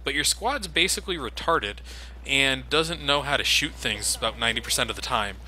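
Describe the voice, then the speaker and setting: A man's voice: mid-range voice, lively intonation, brisk, pushed and bright, poor audio. A man giving casual let's play commentary, sarcastic, self-deprecating and freely swearing. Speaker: video game commentator. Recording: game combat noise behind voice. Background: video game gunfire and vehicles.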